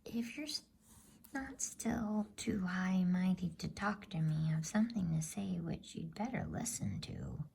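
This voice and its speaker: A woman voicing a sceptical, grumbling boy in a breathy whisper for a storybook reading. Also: lowish voice, very animated, a little slow, darkish tone, a little hiss or hum, exaggerated voice. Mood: suspicious, grumbling.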